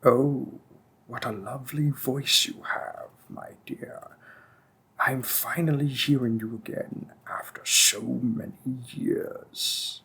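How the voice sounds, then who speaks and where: A man playing a gravelly, whispery demon king. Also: mid-range voice, fairly even intonation, exaggerated voice, rough recording. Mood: seductive. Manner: soft, often whispered, slow and teasing. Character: possessive, seductive male demon king. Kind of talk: audio roleplay.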